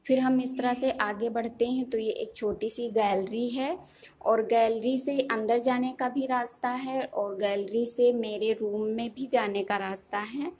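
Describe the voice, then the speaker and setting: A young woman with a fairly high voice. She talks casually, as a vlogger showing viewers around a home. She explains things plainly and often rephrases what she has just said. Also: fairly even intonation, unhurried, a little mellow, a little hiss or hum. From India. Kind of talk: casual house tour narration. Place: inside a family house, moving room to room. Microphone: handheld phone behind the camera.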